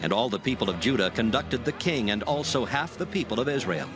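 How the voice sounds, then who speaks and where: A man's voice: medium pitch, expressive, brisk. A man narrating a dramatized scripture from a script in a solemn style. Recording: music behind the voice.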